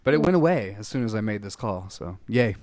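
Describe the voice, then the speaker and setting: Male show host, low voice, dry close sound, rambling casual chat. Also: expressive, fairly quick, darkish tone, dry recording. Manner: chatty, rambling with many fillers. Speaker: podcast host. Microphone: headphones on a call.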